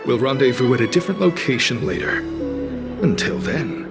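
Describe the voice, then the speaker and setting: An actor playing a calm, coolly sinister cult recruiter in a video game, speaking formally with polite menace. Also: male voice, medium pitch, moderate pace, vocal fry, over loud music. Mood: formal, satisfied. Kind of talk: scripted video game cutscene acting.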